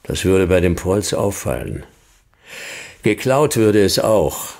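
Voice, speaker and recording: Very deep, gravelly hard-boiled detective. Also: male voice, animated, takes it slowly, a little mellow, no echo, heavily acted. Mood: curt, firm. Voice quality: gravelly, husky. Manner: dry, laconic. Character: laconic, world-weary male private detective who narrates his case. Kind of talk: hard-boiled radio play acting and narration.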